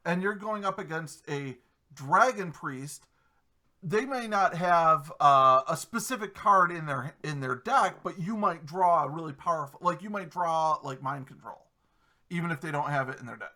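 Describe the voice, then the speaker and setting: A man with a high-pitched, bright, forward voice hosts a casual gaming chat. He rambles through fillers and restarts and keeps it loose and friendly over a desktop mic. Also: normal speed. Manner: chatty, self-interrupting with many fillers. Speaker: podcast host.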